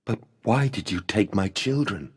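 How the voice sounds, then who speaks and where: A tormented father and creator, weighed down by guilt yet grimly resolute. His low voice is very husky and gravelly, delivered mournfully in a long hall reverb, as dark video game drama. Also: lively intonation, unhurried, exaggerated voice. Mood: anguished.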